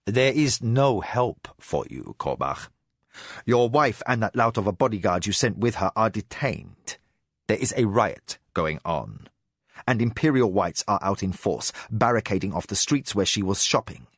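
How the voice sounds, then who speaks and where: A man voicing a cold, menacing male spy master who speaks low, slow and measured. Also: expressive, a bit bright, no echo, studio quality, exaggerated voice. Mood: coldly threatening.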